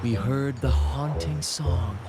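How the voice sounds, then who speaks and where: An actor playing a theatrical young pirate captain in an anime game, telling tales with grandiose stage flair. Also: male voice, mid-range voice, slow, lightly acted. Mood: dramatic storytelling. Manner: grandiose, dramatic stage delivery. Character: theatrical young male pirate captain and showman, flamboyant storyteller. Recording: loud music behind.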